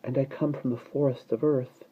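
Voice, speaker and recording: A man voicing a wise, dreamy newborn baby girl who speaks softly and politely. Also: medium pitch, steady tone, normal speed, husky, pitched above the performer's own voice, natural voice. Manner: soft, hushed, polite. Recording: dull lo-fi recording.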